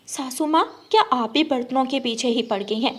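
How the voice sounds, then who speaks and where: A woman's voice: high-pitched, moderate pace. A woman playing a spoiled, bossy young daughter-in-law bird in a children's story, scolding and demanding. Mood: rude, impatient.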